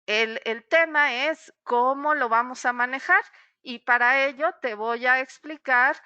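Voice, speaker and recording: A woman's voice: sits high, a little slow, bright, forceful tone, clear, resonant tone, dry recording, muffled recording. A middle-aged psychologist woman giving a webinar talk straight to the listener, explaining patiently with frequent "eh" fillers.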